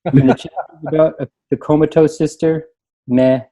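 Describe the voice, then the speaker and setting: Mellow man in casual book chat, muffled audio. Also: fairly high pitch, flat delivery, moderate pace. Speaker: librarian panelist. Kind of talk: reading reviews aloud and casual chat. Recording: dull, muffled lo-fi sound.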